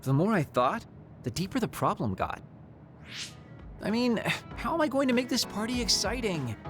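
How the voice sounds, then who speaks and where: A man voicing an excitable, theatrical young male soldier in an anime game, with an expressive, dramatic delivery. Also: high-pitched, moderate pace, faint music behind. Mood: fretful, eager. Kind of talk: anime video game dub acting.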